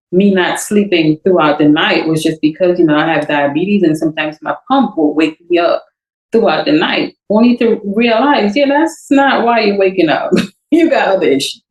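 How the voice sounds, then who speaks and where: A woman's voice: on the low side, normal speed, echoey room, poor audio. A middle-aged woman from Trinidad and Grenada, a podcast guest talking casually and laughing often as she tells her story. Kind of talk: casual interview chat.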